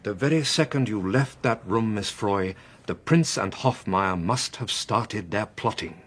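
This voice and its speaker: Husky, measured official. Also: male voice, fairly low pitch, fairly bright tone. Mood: grave, certain. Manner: methodical, courteous, authoritative. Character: measured, probing male British government official. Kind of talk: radio drama acting.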